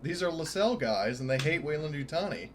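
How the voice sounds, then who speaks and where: A man's voice: a little high, expressive, brisk, slight background noise. A man chatting casually as a player in a tabletop roleplaying game.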